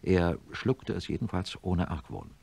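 An actor playing a troubled middle-aged surgeon who narrates and speaks in a radio drama, grave and confiding. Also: male voice, deep voice, lively intonation, unhurried, vocal fry, darkish tone, slight background noise, exaggerated voice. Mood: sombre, confessional.

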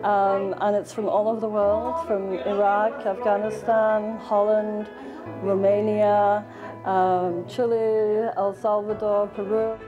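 Older woman pausing with soft "um"s. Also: medium pitch, flat delivery, narrow, tinny audio, music in the background. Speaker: refugee charity organizer. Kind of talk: casual interview. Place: indoor gallery room. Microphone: lapel mic.

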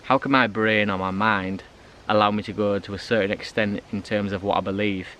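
A man's voice: lowish voice, fairly even intonation, brisk, bright, forceful tone, a little hiss or hum. A man in his thirties with a British accent, chatting casually to the camera outdoors in a forest, rambling with fillers and restarts. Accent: British English. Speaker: bushcraft vlogger. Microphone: handheld camera at arm's length. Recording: background music behind the voice.

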